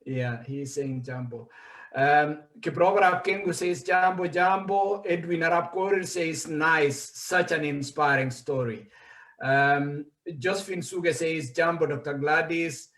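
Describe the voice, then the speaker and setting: Lively man hosting in an echoey room. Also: a little high, a little slow. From Kenya. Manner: animated, reads out comments, laughs easily. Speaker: host. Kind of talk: casual livestream chat, reading viewer comments.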